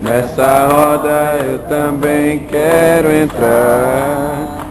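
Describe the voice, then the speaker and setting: Slow-singing man from Paraíba leading a ciranda. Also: on the high side, fairly even intonation, loud music behind the voice. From Paraíba, Brazil. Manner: slow, drawn-out sung phrasing. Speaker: participant leading songs.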